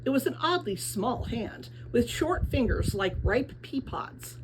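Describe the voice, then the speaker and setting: Lively woman reading a storybook aloud. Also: on the high side, unhurried, background noise. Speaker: audiobook reader. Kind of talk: expressive scripted storybook narration.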